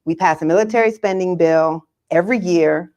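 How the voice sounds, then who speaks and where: Woman, slightly low pitch, explanatory commentary, conversational, articulate, emphatic, measured pauses. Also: darkish tone.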